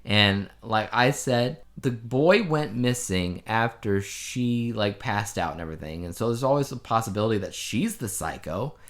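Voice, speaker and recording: Man, lowish voice, podcast co-host, casual review chat, conversational storytelling, emphatic asides. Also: expressive, normal speed, few pauses, a bit bright.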